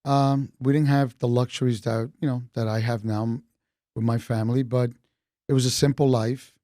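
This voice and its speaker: Brief: Colombian-born man, 50s, New York accent, mellow warm tone, relaxed storyteller, dry sound. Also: mid-range voice, moderate pace, no echo. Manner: relaxed, chatty, laughs between points. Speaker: retired police officer, interview guest. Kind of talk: casual storytelling in an interview.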